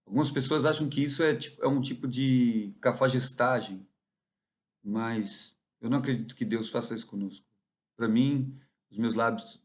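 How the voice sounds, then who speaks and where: Brazilian guy rambling to camera, musing, lots of pauses mid-sentence. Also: medium pitch, darkish tone. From interior of São Paulo, Brazil. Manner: meandering, musing, often pausing mid-thought. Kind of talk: rambling reflective monologue.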